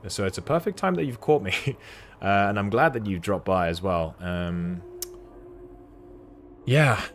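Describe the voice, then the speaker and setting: A low-voiced British man talks to his stream chat while he plays, rambling and friendly. Faint hiss sits under his desk mic. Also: animated, a little slow. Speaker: game streamer. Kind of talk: casual livestream chat while playing.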